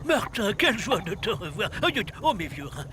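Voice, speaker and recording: An actor playing a grumbly but kindly old magician priest in a cartoon, theatrical and grandly formal. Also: male voice, sits high, big pitch swings, moderate pace, background noise. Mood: welcoming, curious. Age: older. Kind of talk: French cartoon voice acting. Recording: music behind the voice. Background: music.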